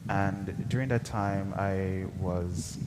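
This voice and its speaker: A young Jamaican man, an associate pastor, talking earnestly and measured as an interview guest, with frequent fillers. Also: low-pitched, steady tone. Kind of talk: broadcast interview. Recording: echoey hall with loud background noise.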